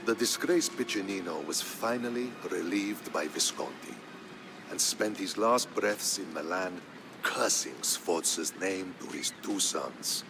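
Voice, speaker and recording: A man narrating a historical tale in a scripted, dramatic style, solemn and measured. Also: low voice, rough recording. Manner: solemn, measured storytelling delivery. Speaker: narrator of a strategy game campaign story. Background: background music.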